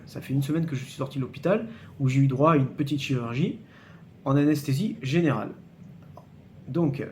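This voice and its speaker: A man's voice: medium pitch, a little mellow, a little hiss or hum. Hesitant man nearing fifty, chatty. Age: 40s. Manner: frequent hesitations and repeated words, checks in with "d'accord?"; emphatic, cautionary tone when warning viewers. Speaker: fitness channel host. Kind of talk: casual explanatory vlog to camera.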